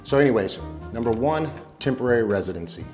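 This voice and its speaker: A man's voice: medium pitch, lively intonation, fast, a little mellow. An American man, around his thirties, hosting a casual explainer video in an informal, emphatic, slangy way. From United States. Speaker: YouTube host giving expat advice. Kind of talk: casual explainer talk to camera. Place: small indoor home studio. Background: background music.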